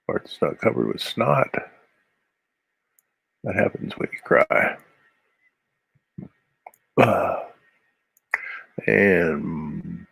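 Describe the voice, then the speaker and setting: Gravelly low-voiced Midwestern man. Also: fairly bright tone, dry recording, poor audio. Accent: Midwestern American. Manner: mutters to himself between strokes, rambling asides. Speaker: comic artist streaming his drawing. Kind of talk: casual live-stream commentary while drawing.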